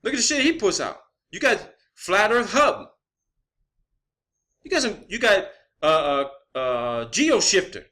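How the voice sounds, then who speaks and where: A man's voice: sits high, big pitch swings, unhurried, sharp tone. A man delivering a confrontational, blunt, profanity-heavy rant as an online video creator. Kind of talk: profane spoken rant to camera or viewers.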